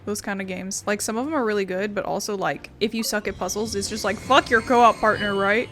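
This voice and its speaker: A woman's voice: mid-range voice, fairly even intonation, a little fast, fairly bright tone, soft background music. A woman giving casual, blunt, playful let's play commentary, with some swearing. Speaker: gaming streamer.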